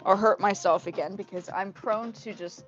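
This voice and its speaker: A woman from Indonesia, a native Indonesian speaker, hosting a casual livestream in a rambling, animated way, often repeating her phrases. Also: mid-range voice, normal speed, faint music behind. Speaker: livestream host. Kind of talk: casual livestream chat with viewers. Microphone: earpiece mic on a phone. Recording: dull lo-fi livestream audio through earpiece mic.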